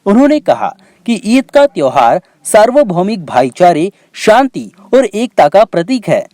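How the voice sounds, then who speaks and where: Male news reporter, fairly high voice, very animated intonation, scripted narration. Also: unhurried, dry recording.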